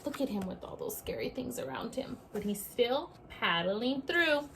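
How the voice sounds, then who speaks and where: Woman, schoolteacher, high-pitched, swooping lively intonation, children's read-aloud style, addressing kids directly. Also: moderate pace, vocal fry, a little hiss or hum, poor audio. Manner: animated, addresses children directly with questions and asides. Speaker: teacher reading a story aloud to young pupils.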